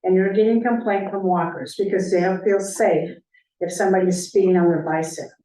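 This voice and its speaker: A woman's voice: medium pitch, steady tone, brisk, mellow. A woman chairing a public board meeting, asking staff pointed, leading questions. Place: council meeting room. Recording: roomy, distant meeting-room mic.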